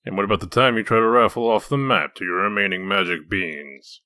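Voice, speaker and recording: Casting: male actor as hard-boiled wolf detective, very low, forceful edge, dry delivery for comic drama. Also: brisk, dry recording. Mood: dry, probing. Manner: needling, dry, interrogating. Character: gruff, sardonic male wolf detective in human form. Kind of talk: comic dub dramatic acting.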